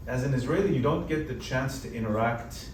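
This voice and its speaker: A man who grew up in the United States, speaking on a panel in a relaxed, conversational way with lots of "you know" fillers. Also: mid-range voice, a little fast. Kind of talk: panel discussion. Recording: roomy hall, distant mic, background noise.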